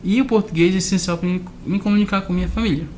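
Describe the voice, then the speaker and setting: A man's voice: high-pitched, steady tone, rapid-fire, darkish tone. A man telling personal stories casually as an interview guest, often checking that the listener follows. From grew up between southern Brazil and Argentina. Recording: clear background noise.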